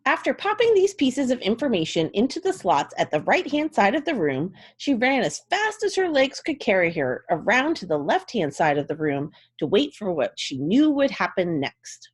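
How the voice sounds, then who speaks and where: A woman's voice: mid-range voice, moderate pace, few pauses, breathy edge. A woman giving a dramatic, theatrical storybook reading as narrator. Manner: theatrical, expressive.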